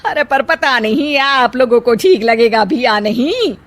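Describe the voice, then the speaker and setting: A woman voicing a scheming, melodramatic middle-aged aunt with a drawn-out, wheedling delivery in a moral story. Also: on the high side, lively intonation. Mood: sly, wheedling. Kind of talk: Hindi moral story dramatization.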